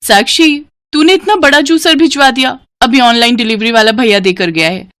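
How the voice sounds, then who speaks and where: A woman plays a tender, caring sister in a storybook family drama. Her voice is high and dry, earnest and full of feeling. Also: normal speed, fairly bright tone, no room sound, lightly acted. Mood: affectionate.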